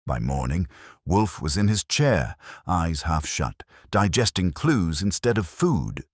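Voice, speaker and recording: A man's voice: low-pitched, sing-song, slow, slightly rough, no echo, clean studio sound. A man reading a scripted first-person detective story in a hard-boiled narrating style.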